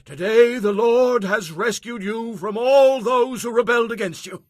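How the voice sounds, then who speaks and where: A man's voice: very high-pitched, a little slow, dry recording, clean studio sound, voice pushed up. A man voicing a formal foreign messenger who is grave and ceremonious.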